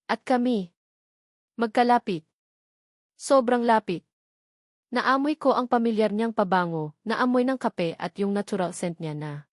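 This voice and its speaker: A woman with a Filipino accent narrates a first-person romance story in an emotive, dramatic way with deliberate pauses. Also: a little high, dry recording, clean studio sound.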